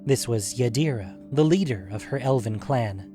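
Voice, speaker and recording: A male narrator tells a fantasy tale in a measured, literary way. His pitch sits in an ordinary male range. Music runs underneath the narration. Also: lively intonation, mellow. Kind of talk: scripted fantasy story narration.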